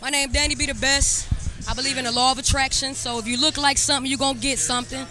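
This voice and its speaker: A woman's voice: high-pitched, fairly even intonation, quick pace, pushed and bright, soft background music. A woman in her twenties from Detroit, a barber talking confidently and casually about her hair products. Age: 20s. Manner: upbeat, confident, slangy. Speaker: barber, interview guest. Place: event backdrop at a barber competition. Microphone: handheld mic.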